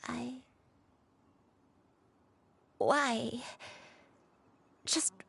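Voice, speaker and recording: A woman playing a gentle, forgetful old grandmother in a video game, speaking in a halting, tender way with some breathiness. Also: on the high side, fairly even intonation, creaky, husky, dry recording, full character voice. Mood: wistful, troubled. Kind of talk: dramatic video game voice acting.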